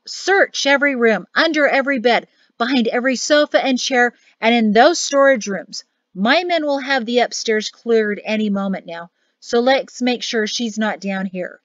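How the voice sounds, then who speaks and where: A woman voicing a male military officer in an audiobook, wryly kind, polite and measured, with an air of command. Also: medium pitch, no room sound, dull audio, natural voice. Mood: urgent, commanding. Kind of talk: acted audiobook dialogue.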